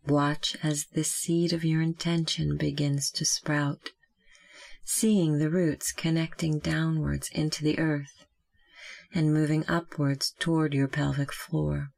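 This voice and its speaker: A meditation guide speaking gently and calmly, giving slow, measured instructions with long pauses. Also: medium pitch, gravelly.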